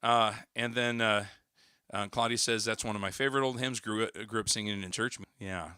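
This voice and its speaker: A man with a hoarse, recovering voice, chatting casually to viewers on his livestream with plenty of fillers and restarts. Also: lowish voice, steady tone, brisk, bright, forceful tone, no echo, studio quality. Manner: rambling, many fillers and restarts. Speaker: worship singer hosting a livestream.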